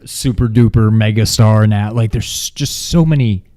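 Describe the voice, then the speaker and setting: A man co-hosting a movie review in a casual chat, with informal, halting phrasing. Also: fairly low pitch, warm tone. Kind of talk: casual chat, film discussion.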